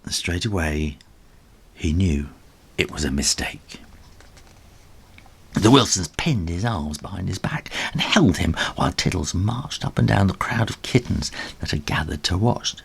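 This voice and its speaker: A man reading a children's story aloud as its author, in an animated storybook narration style with a husky voice. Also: sits low, measured pace.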